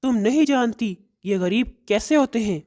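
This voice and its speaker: A proud, protective father bird from a cartoon tale, acted by a woman. The voice sits high for its kind yet has a soft, mellow tone. The delivery is emphatic, like a parent laying down the law or fussing over a child. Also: lively intonation, normal speed, lightly acted. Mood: stern, scolding. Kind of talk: Hindi cartoon story dialogue.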